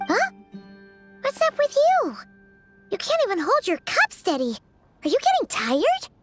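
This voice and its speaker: A woman voicing a small, chirpy, childlike female fairy companion in a video game, chatty and expressive with a squeaky voice. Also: measured pace, faint music behind, exaggerated voice. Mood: concerned. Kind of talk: video game cutscene dialogue.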